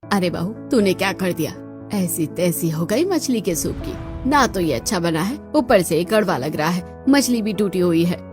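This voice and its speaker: A woman's voice: lowish voice, lively intonation, moderate pace, music in the background, close to an everyday voice. A woman voicing an older, complaining mother-in-law in a Hindi cartoon story, grumbling and reproachful. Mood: displeased.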